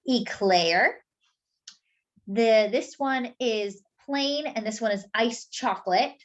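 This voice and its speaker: A woman reading a picture book aloud expressively to young children, pausing to explain things as she goes. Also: a little high, dull audio. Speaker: children's storyteller. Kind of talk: animated picture-book read-aloud with asides.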